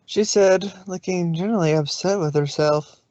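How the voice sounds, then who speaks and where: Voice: medium pitch, normal speed, darkish tone, no room sound. Someone narrating fanfic casually, trips on words a bit, dry n slightly lo-fi. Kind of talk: narrating fan fiction aloud. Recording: slightly dull, lo-fi sound.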